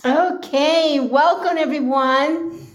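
A woman hosting her own podcast, talking earnestly with a slightly raspy, hoarse voice, pausing and restarting often. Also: high-pitched, soft background music. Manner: slow, earnest, with pauses and restarts. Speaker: podcast host. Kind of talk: casual solo podcast talk.

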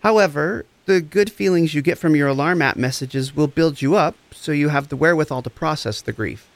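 An actor playing a gentle, encouraging empath therapist, speaking in a reassuring, deliberate way in a scripted audio drama. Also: male voice, a little high, vocal fry, no room sound. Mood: calm, supportive. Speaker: actor in a fiction podcast.

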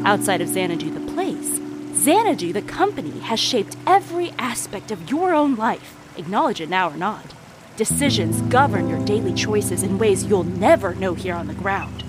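Actress doing a sly teasing storyteller woman, audio drama, really animated swoopy delivery, music playing loud behind her. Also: mid-range voice, close to an everyday voice. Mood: grand, romantic. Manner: conspiratorial, teasing, theatrical. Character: Marla, sly conspiratorial woman storyteller of murder and revenge. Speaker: narrator character of an audio drama. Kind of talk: theatrical dramatic monologue to the listener.

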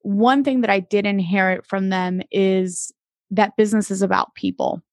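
A woman in her thirties from the Dominican Republic, a guest in an interview who talks in a warm, chatty way. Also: medium pitch, fairly even intonation, moderate pace, no echo, studio quality. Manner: warm and chatty, with many fillers. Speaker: podcast guest.

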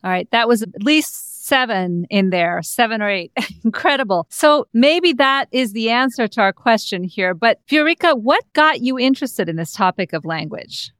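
A woman's voice: on the high side, expressive, normal speed, fairly bright tone, clear, resonant tone, no echo. Enthusiastic woman podcast host. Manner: enthusiastic, scripted intro then conversational questions. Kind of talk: interview podcast hosting.

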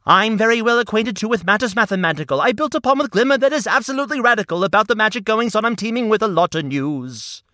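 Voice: mid-range voice, a bit bright, no echo, studio quality. A performer voicing a pompous, theatrical hedgehog who writes operas and talks with grand self-importance. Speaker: sidekick character.

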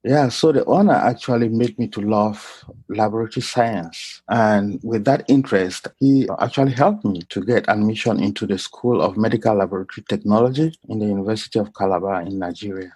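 A middle-aged man from Cameroon telling his life story in an interview, polite and unhurried. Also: fairly low pitch, darkish tone, husky, no room sound. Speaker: guest, clinical lab scientist. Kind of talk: casual interview.

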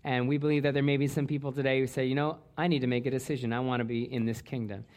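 An earnest male pastor preaching to his congregation in a church. He talks at a middle pitch, restarting and repeating words as he builds his points. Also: fairly even intonation, rapid-fire, darkish tone. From Canada. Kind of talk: sermon.

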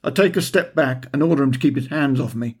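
A man's voice: medium pitch, fast talker, slightly rough. A man from England reading his own first-person story as scripted narration, with a wry, dryly humorous delivery. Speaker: author reading own audiobook chapter.

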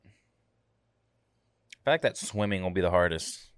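A young man with a very deep voice chats on a home podcast. His replies are short and easygoing, and he says bro a lot. He sits close to a desk mic, so the sound is dry. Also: lively intonation, moderate pace, clear, resonant tone, dry recording. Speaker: podcast co-host. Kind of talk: casual chat.

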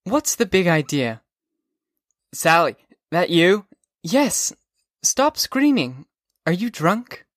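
A man reading aloud, voicing a sharp young woman who curtly scolds a drunk caller. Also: high voice, big pitch swings, slow pace, long pauses, dry recording, clean studio sound, voice pushed up, heavily acted. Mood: impatient. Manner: curt, impatient.

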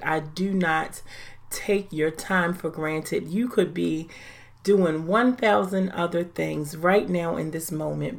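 Woman, forties, podcast host, earnest, lowish voice, unhurried. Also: gravelly. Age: 40s. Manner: earnest, deliberate, reflective. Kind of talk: faith-based podcast monologue.

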